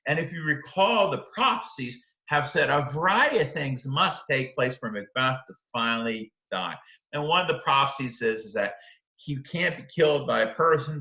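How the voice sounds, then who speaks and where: A man's voice: mid-range voice, bright, forceful tone. A middle-aged man giving an explanatory literature lecture in an emphatic way, often posing rhetorical questions. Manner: emphatic, uses rhetorical questions and check-in tags. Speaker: literature teacher. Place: indoor room, close to a webcam. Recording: roomy, echoing sound.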